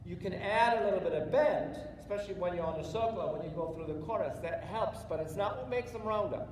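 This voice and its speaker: A man's voice: high-pitched, fast. A middle-aged man coaching a rider live, giving short encouraging instructions and patient explanations. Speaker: riding clinic instructor. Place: large indoor riding arena. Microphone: spectator's camera at some distance. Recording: echoey hall, distant mic, loud background noise.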